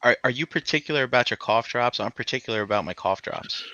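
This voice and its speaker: A man's voice: mid-range voice, fast, crowd noise. A man co-hosting a podcast, telling laid-back stories and swearing casually. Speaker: podcast co-host. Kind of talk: casual chat. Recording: dull lo-fi sound.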